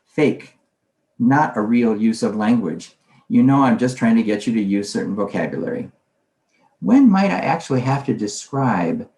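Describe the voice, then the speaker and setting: A man's voice: fairly low pitch, expressive, normal speed, a little mellow. Man lecturing in a roomy space. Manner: conversational, asks himself questions aloud. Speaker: conference speaker on language teaching. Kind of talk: recorded presentation lecture. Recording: roomy echo.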